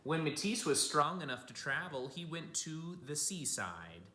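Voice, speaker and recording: A man giving an expressive read-aloud of a picture book for children, like a teacher speaking to young listeners. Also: fairly high pitch, unhurried. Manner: addresses young listeners with simple asides and questions. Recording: roomy, echoing room sound.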